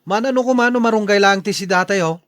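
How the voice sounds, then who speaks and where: Proud, forceful Ilocano husband. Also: male voice, high voice, fast, dry recording, studio quality. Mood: suspicious. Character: proud, stern husband and father, short-tempered about money. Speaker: radio drama actor. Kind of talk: Ilocano radio drama acting.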